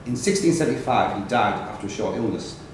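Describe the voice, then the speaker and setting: A man's voice: lowish voice, animated, fast. Guy reading out pub quiz questions, trips up and corrects himself, super echoey and far from the mic. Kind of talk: reading long quiz questions aloud at a live event. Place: echoey indoor pub function room. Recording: very echoey noisy room, distant mic.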